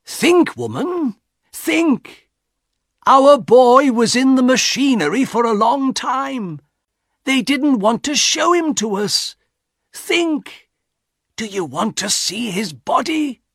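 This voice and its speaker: A narrator voicing a tired, fearful old husband in an audiobook, protesting anxiously with drawn-out emphasis. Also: medium pitch, wildly swooping pitch, pushed and bright, slightly rough, no room sound, studio quality, pitched above the performer's own voice, full character voice. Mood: horrified protest. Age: older. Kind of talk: audiobook character acting.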